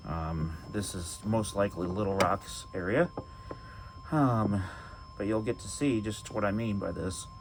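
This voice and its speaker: A man's voice: lowish voice, unhurried, noisy background. A man hosting a video, giving casual, off-the-cuff commentary on audio clips. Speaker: video host.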